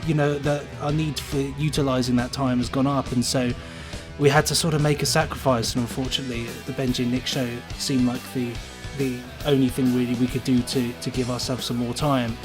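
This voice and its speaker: A man's voice: medium pitch, flat delivery, fairly quick, over music. A man talking casually as a podcast guest, in a chatty, rambling way. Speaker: podcast guest, sound designer. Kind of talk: casual conversational interview.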